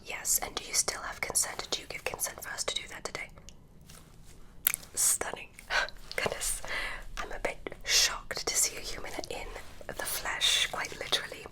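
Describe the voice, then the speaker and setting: A woman's voice: normal speed, long pauses, close to an everyday voice, poor audio. A woman voicing a curious, polite female alien examiner, whispering through an ASMR roleplay.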